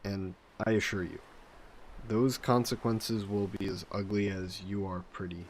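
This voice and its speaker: A man playing a possessive sea monster in a roleplay audio drama, speaking in measured, formal phrases that are coolly threatening and flirty. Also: lowish voice, flat delivery, slight background noise, natural voice. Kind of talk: scripted roleplay audio drama, intimate monologue.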